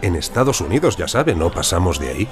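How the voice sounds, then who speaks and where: An actor playing a courteous, flattering male American senator in an audio drama. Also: sits low, quick pace, over music. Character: flattering, self-deprecating American senator, an impostor.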